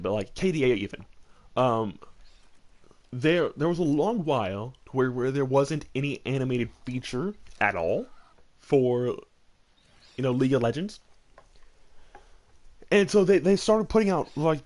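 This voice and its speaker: Animated man rambling about his opinions. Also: medium pitch. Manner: rambling, emphatic, repeats phrases for stress. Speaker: host of a gaming discussion show. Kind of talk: casual chat, opinionated commentary.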